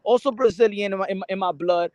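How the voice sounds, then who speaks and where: A man's voice: high voice, quick pace, a little mellow, no room sound, muffled recording. A man from New York arguing in a casual boxing chat, repeating words and restarting his sentences. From New York, Dominican family. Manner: repeats himself, stumbling restarts. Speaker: panelist. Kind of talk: heated casual debate.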